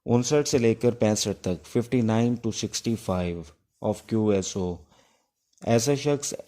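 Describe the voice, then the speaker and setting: A man with a Pakistani accent giving an informal exam-prep law lecture, explaining things step by step with hesitant pauses. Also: on the low side, mellow. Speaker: law teacher. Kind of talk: informal exam-prep lecture in Urdu mixed with English legal terms.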